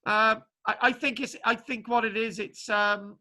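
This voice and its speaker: A man lecturer from Wales talking casually to his online class, halting with many restarts and fillers. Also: sits high, steady tone, pushed and bright. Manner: halting, full of restarts and ums. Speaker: archaeology lecturer. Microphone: video call. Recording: spotty video call connection.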